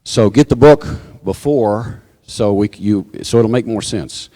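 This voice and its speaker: Man, church announcer, low voice, informal with joking asides, long hall reverb. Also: a little slow, warm tone. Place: church sanctuary, live service. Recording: hall reverb from sound system.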